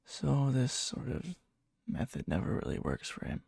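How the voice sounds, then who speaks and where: A man playing a needy, lovesick, obsessive young friend in a soft, breathy ASMR roleplay, hesitant and coy. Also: mid-range voice, very animated, moderate pace, no echo, studio quality, exaggerated voice. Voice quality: breathy, soft, fry-edged. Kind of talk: whispery ASMR roleplay, intimate storytelling.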